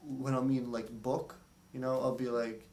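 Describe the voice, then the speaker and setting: A young man who stutters, talking candidly in a therapy session, halting and hesitant with many fillers. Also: mid-range voice, flat delivery, darkish tone, echoey room, far from the mic, slight background noise. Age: young adult. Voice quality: stutters, with blocks and repeated words. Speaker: speech therapy client. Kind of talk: candid interview talk.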